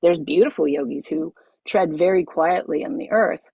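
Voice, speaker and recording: A middle-aged woman teaching a group as a yoga teacher over a video call, her voice husky and a little low. She talks with expressive emphasis and repeats her key lines. The sound is dry and close. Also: moderate pace, no room sound. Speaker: yoga teacher leading a masterclass.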